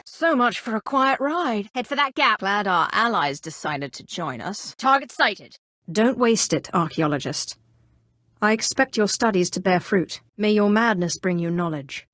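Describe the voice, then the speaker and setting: A haughty young Sith sorceress for a video game, speaks with imperious control and dry sarcasm. Her voice sits at a middling female pitch, cold and menacing, recorded close with no room sound. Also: a bit bright, no echo. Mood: sardonic, impatient. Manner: imperious, dryly sarcastic, crisply controlled delivery. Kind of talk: scripted video game character dialogue.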